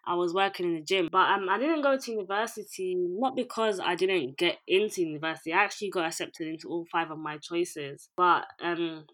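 A woman in her twenties from England, a director and producer, speaking in a calm, even, rather monotone way as an interview guest. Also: on the low side, fairly quick, hardly any pauses. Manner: calm, even, self-described monotone delivery. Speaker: music video director and producer. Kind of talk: interview guest, casual conversation.

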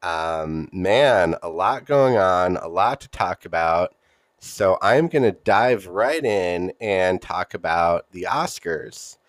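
A man's voice: low voice, expressive, slow, no echo. A man hosting a solo podcast, giving casual, opinionated commentary in an emphatic, conversational way. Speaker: podcast host.

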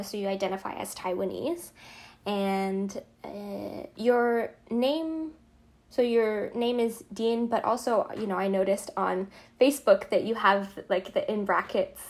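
A woman's voice: medium pitch, a little mellow. A woman hosting a casual, chatty interview in an informal, loosely phrased way. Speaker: interviewer, host.

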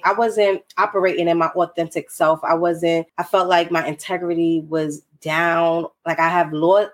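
A woman hosting her own podcast, nasal and congested from a cold, talking candidly and emphatically to her listeners. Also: lowish voice. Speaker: podcast host. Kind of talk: solo podcast talk.

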